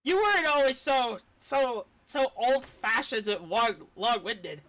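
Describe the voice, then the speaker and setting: A woman's voice: fairly high pitch, animated, unhurried, fairly bright tone, rough recording. A woman voicing an exasperated, blunt little robot companion from a game, scolding and accusing. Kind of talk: game dialogue read aloud in character.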